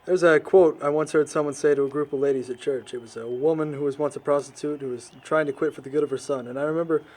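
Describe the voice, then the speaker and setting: A man's voice: on the high side, fairly even intonation, darkish tone, natural voice. A young man playing an earnest, reflective teenage boy in an amateur audio drama, choosing his words thoughtfully. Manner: earnest, measured wording, reflective. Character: earnest, thoughtful, non-judging teenage boy, quiet outsider.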